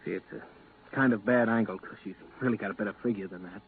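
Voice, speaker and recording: An actor playing an anxious, engaged young spaceman, earnest and halting, in a 1950s radio drama. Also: on the low side, fairly even intonation, gravelly. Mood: anxious, pleading. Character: anxious, engaged young spaceman dreading being left behind. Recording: tinny, hissy 1950s radio recording.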